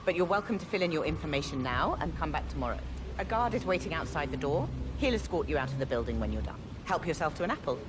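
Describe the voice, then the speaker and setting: An actress playing a brisk, polite and officious clinic recruiter in a video game, speaking formally. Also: female voice, deep voice, big pitch swings, noisy background. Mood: courteous, officious. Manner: formal, courteous, businesslike.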